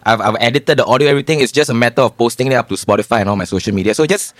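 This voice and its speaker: A man co-hosting a comedy podcast, teasing and quick with a joke. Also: medium pitch, no room sound. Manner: quick, lively, teasing with laughs. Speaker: comedian co-host. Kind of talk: casual comedic podcast chat.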